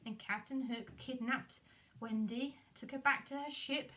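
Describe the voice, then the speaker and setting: A woman puppeteer telling a story for children in an animated storytelling style. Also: fairly high pitch, measured pace, recorded from a distance.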